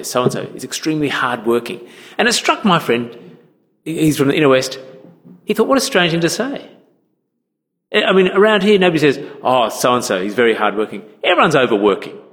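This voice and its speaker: A man's voice: mid-range voice, in a large hall. A man preaching a sermon as a minister, conversational and acting out the people he quotes. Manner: conversational, acts out quoted voices.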